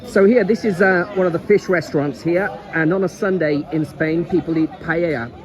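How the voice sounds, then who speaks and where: A man's voice: fairly high pitch, moderate pace. Chatty Liverpool man on a seaside walk. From England. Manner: chatty, rambling, repeats words. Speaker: travel vlogger. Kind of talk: casual walking travel vlog. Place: outdoor seaside promenade.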